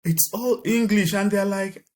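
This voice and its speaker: A man's voice: high voice, measured pace. A middle-aged man with a Nigerian accent, talking as a guest in a conversational interview and often repeating and restarting his words. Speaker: podcast guest.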